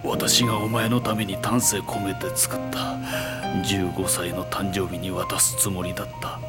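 An actor playing a stern, middle-aged swordsmith father in an anime drama, gruff and emotional. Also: sits low, level tone, measured pace, loud music behind the voice. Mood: dying, strained. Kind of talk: anime voice acting.

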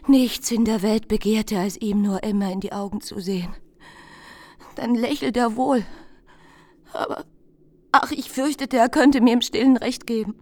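An actress playing a self-doubting, lovesick young country woman in a radio play, earnest and plaintive, with hushed confiding phrases. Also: fairly high pitch, lightly acted. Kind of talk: literary radio play monologue.

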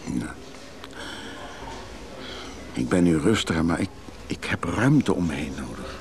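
An actor playing a restless, evasive recovering drinker in a radio play, hesitant and confiding. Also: male voice, low voice, sing-song, a little slow, exaggerated voice. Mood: uneasy, confessional. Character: restless recovering drinker, evasive man who craves freedom. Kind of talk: naturalistic Dutch radio drama acting.

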